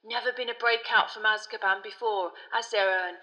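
A chatty young bus conductor, played by a woman, full of colloquial gossip and easily spooked. The voice is bright and forceful, set in a long hall-like reverb. It is a storybook character who dramatizes every tale. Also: mid-range voice, fairly even intonation, normal speed, close to an everyday voice. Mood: dramatic. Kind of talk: audiobook character voice.

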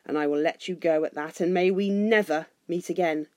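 A woman's voice: mid-range voice, brisk, dry recording, close to an everyday voice. A woman voicing a proud, stubborn dwarf king from a storybook, imperious and scornful. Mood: bitter, grim. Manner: imperious, scornful, shouting in rage.

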